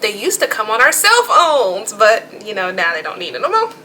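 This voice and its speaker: A woman's voice: mid-range voice, wildly swooping pitch, normal speed, sharp tone, slight background noise. A woman vlogger talking casually and chattily as she shows off products, with informal asides. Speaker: vlogger presenting emergency gear. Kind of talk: casual product show-and-tell.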